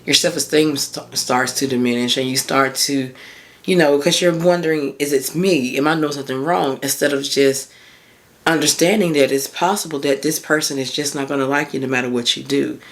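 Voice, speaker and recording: A woman in her thirties with a hoarse voice, hosting a casual solo podcast as a mindset coach and chatting informally and encouragingly with her listeners. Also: extremely low pitch, steady tone, normal speed. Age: 30s.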